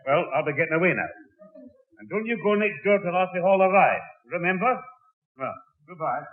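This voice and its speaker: A man's voice: high-pitched, animated, brisk. A man playing a fussy, bossy old British grandfather in a 1960s radio sitcom, scolding and blustering. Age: older. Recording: tinny old radio broadcast recording.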